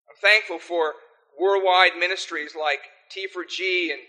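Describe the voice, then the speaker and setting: A man's voice: high voice, steady tone, measured pace, pushed and bright, poor audio. An older man with an American accent, a pastor preaching a sermon and praying earnestly. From South Africa. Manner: earnest, pleading in prayer. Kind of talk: sermon with opening prayer.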